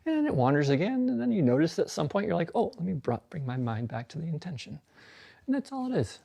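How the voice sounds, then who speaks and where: A man with a warm, mellow voice guides listeners through a meditation. He talks in a calm, soothing, unhurried way, relaxed and chatty between the instructions. He speaks into a phone during a live stream. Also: fairly high pitch, vocal fry. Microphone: phone on a social video live stream.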